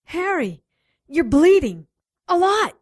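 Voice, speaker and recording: Slow, expressive woman, a detective's companion acted. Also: very high voice, no echo, studio quality, exaggerated voice. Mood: alarmed. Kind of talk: radio drama acting.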